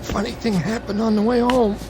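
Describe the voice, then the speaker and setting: A man acting in a radio drama as a beaten-up, protective older brother, streetwise and wisecracking, talking in a wry, halting way. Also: high voice, fairly even intonation, very noisy. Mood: pained, wry.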